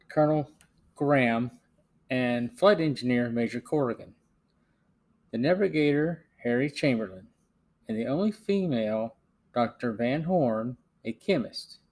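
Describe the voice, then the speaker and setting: A male podcast host reads prepared narration at a middling pitch. His delivery is measured and a little halting, as he works through a plot summary. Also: a little mellow.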